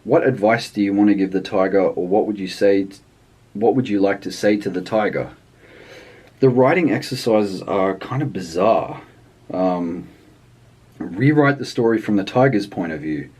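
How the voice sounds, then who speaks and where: Male reviewer, lower register, off-the-cuff and rambling, thinks aloud with hesitations. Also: normal speed, darkish tone, husky. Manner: hesitant, rambling delivery with trailing thoughts. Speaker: language book reviewer. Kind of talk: casual unscripted review chat.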